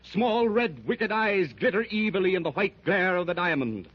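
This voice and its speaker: A man's voice: high voice, animated, normal speed. A man narrating a 1930s radio adventure serial in a vivid, suspenseful style. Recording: dull lo-fi 1930s radio transcription.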